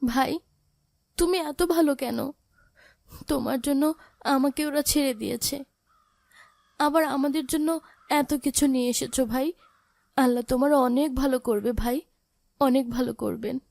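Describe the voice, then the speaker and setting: A woman voicing a poor orphaned big sister in a cartoon drama, gentle, sorrowful and pleading. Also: sits high, normal speed, gravelly, long pauses, dry recording. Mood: grateful. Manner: plaintive, pleading.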